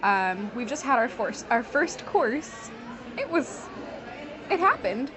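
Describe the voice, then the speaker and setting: A woman giving a casual, deadpan on-location report over the din of a busy restaurant. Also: on the high side, takes it slowly, poor audio. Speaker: diner recording a field report. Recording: loud restaurant crowd noise.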